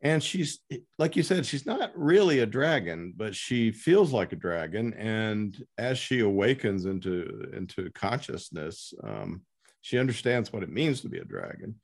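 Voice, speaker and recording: A man hosting an unscripted literary interview as interviewer, speaking haltingly with pauses mid-sentence. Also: lowish voice, expressive. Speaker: interviewer and host.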